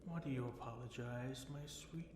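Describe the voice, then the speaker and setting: A man playing a possessive, seductive fallen dragon king in an intimate ASMR fantasy roleplay, with teasing, commanding delivery and breathy whispered words. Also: mid-range voice, moderate pace, natural voice. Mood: amused, concerned. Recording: roomy echo, faint background noise.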